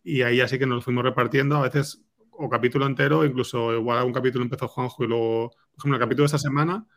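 A man speaks with a Spain Spanish accent in a casual interview chat. His voice is average in pitch with noticeable creak. He is informal and keeps seeking agreement as he explains. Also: steady tone, rapid-fire, a bit bright. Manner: informal, seeks agreement with tag questions. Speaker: screenwriter being interviewed.

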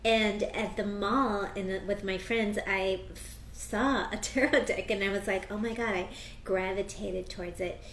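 Animated woman in an echoey room. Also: mid-range voice, slight background noise. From United States. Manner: animated, quick bursts of excitement. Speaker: astrologer and tarot reader. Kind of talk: casual interview chat.

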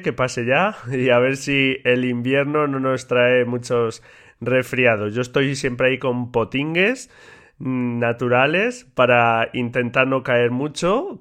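A man hosting a solo podcast in a relaxed, chatty way with many fillers, his voice slightly stuffy and congested from a cold. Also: mid-range voice, clear, resonant tone. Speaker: podcast host. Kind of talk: casual solo podcast talk.

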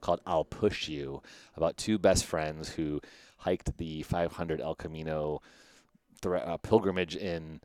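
A man with a Midwestern accent hosts a podcast, talking in a low, deep register. He is animated and excitable and laughs easily. The recording is dry, with no room sound. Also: a little slow, no echo. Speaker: podcast host. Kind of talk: casual podcast chat.

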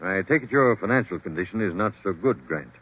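An actor playing a hard-nosed, suspicious police captain who questions curtly and accusingly, in 1940s radio drama style. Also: male voice, low voice, animated, fairly quick, husky. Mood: brusque, probing. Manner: curt, accusatory interrogator. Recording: muffled, phone-like old radio recording.

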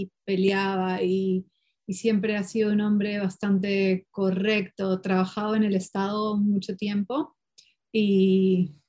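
Woman interview guest, Portuguese speaker; lively storyteller full of fillers and self-corrections. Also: medium pitch. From Peru. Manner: lively, animated, many fillers and restarts. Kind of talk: casual conversational storytelling.

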